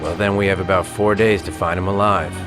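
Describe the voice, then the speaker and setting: An actor playing a businesslike police investigator who presses with questions, in a dramatic video game. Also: male voice, low-pitched, steady tone, fairly quick, over music, natural voice. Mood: curt, official. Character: brisk, probing male police investigator.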